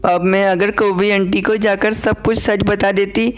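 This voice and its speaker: Woman voicing a timid little sparrow, clear and anxious. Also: mid-range voice, level tone, measured pace, natural voice. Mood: apologetic. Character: timid, worried young female sparrow, well-meaning. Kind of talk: children's cartoon voice acting in Hindi.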